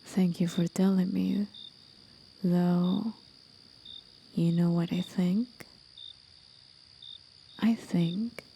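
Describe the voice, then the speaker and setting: A woman voicing a gentle, aged, mysterious demon of the forest in an intimate, soothing, breathy half-whisper for an ASMR roleplay. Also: on the low side, slight background noise, rough recording.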